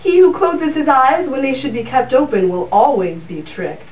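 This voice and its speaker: A woman's voice: sits high, very animated, moderate pace, a little mellow. A young woman voicing a proud, vain male rooster in a class puppet show, with stately old-fashioned phrasing. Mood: defiant. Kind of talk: school puppet show acting. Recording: roomy sound from a distant mic.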